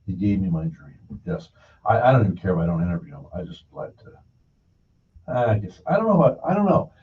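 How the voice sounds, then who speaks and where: A man's voice: low voice, wildly swooping pitch, fairly quick. A man hosting a broadcast, chatting casually in a folksy, rambling storytelling way and acting out what people said. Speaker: broadcast show host. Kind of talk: casual rambling anecdotal conversation. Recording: dull, distant mic, faint noise.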